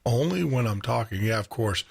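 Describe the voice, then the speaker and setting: A man with a deep baritone voice hosting a live radio show and podcast from his studio, chatty, laughing often and repeating words as he talks. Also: animated, moderate pace, vocal fry, slightly rough. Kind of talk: casual live radio chat. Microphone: studio microphone with headphones.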